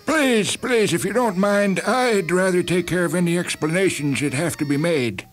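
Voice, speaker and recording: A man playing a polite, uneasy hospital doctor with a mild, halting manner in a dramatised story. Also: high voice, soft background music, close to an everyday voice. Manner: halting, mild, full of hesitations.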